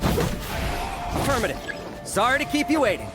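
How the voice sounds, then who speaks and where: A man voicing a blunt fighter in a video game, with loud music behind him. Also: very high-pitched, sing-song, measured pace, full character voice. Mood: brisk, blunt.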